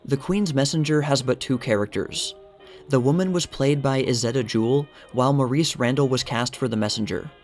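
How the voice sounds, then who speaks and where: A man's voice: medium pitch, moderate pace, a little mellow. Man reading formal narration over music. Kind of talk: scripted narration, reading a vintage news article aloud.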